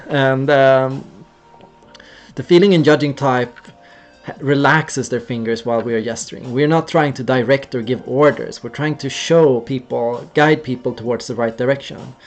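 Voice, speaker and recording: A man's voice: mid-range voice, normal speed, faint music behind. A young man from Sweden, casually explaining on a livestream in an earnest way and acting out gestures as he goes. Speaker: streamer.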